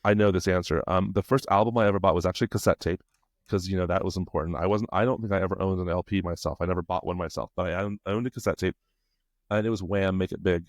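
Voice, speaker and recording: A man's voice: sits low, fairly even intonation, fast talker, no room sound, clean studio sound. A middle-aged man co-hosting a podcast in casual banter, teasing playfully and speaking emphatically. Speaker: podcast co-host.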